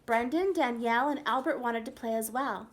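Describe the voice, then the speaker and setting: A woman preschool teacher reading a picture book aloud at circle time, animated and sing-song, pausing to ask the children questions. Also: a little high. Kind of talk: storybook read-aloud to young children.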